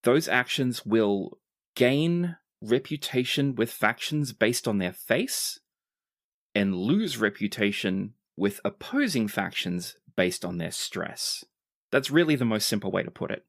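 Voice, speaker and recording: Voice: mid-range voice, very animated, a little slow, vocal fry. A game master explaining tabletop game rules in a methodical, explanatory way.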